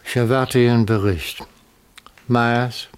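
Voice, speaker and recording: An actor playing an imperious, refined crime boss in a German radio drama, speaking curtly and formally. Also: male voice, medium pitch, fairly even intonation, slow pace, smooth, natural voice. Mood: cold command. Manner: curt, commanding, formal.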